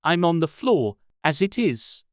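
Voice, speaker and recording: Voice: mid-range voice, big pitch swings, measured pace, dry recording, clean studio sound, voice pushed up. A narrator voicing a miserable, anxious hatter with a trembling manner, in a storybook reading. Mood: meek. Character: nervous, miserable poor hatter, pleading and flustered.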